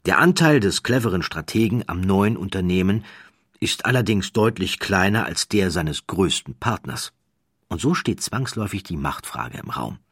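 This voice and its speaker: A man reading a scripted documentary narration in a measured, deliberate way with dramatic emphasis. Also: sits low, fairly bright tone, breathy edge, dry recording, studio quality.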